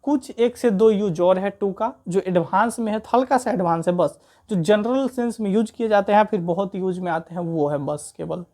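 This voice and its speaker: A woman's voice: mid-range voice, normal speed, mellow. A woman with an Indian accent teaching grammar informally, explaining step by step with repetition and frequent check-in tags.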